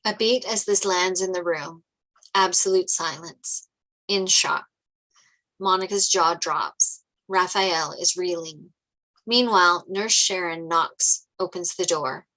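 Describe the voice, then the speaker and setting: A woman's voice: fairly low pitch, slow pace, bright, forceful tone, poor audio. A woman reading stage directions as narrator at a script table read.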